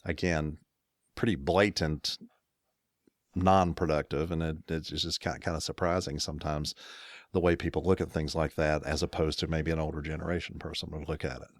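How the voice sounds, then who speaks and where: Deep-voiced middle-aged man, rambling chat. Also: little pitch movement, moderate pace, no echo, studio quality. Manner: rambling, self-correcting storyteller with chuckles. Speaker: podcast co-host. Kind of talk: casual chat.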